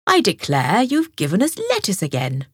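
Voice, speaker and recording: A woman's voice: medium pitch, huge pitch swings, normal speed, husky, no room sound, studio quality. A woman voicing a conceited, lazy male hare in a storybook reading, drawling as he yawns. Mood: lordly. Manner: drawling, yawning.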